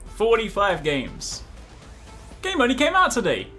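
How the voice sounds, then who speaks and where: A man in his thirties giving casual, chatty, excitable gameplay commentary, often repeating short phrases. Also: high-pitched, measured pace, faint music behind. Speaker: gaming video host. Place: indoors at a desk, gaming setup.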